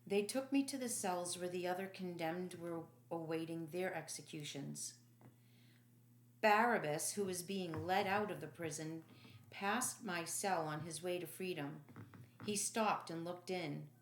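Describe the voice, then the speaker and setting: A woman reading dramatic first-person audiobook narration in a solemn, deliberate, emphatic way. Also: fairly low pitch, a little hiss or hum. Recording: echoey room.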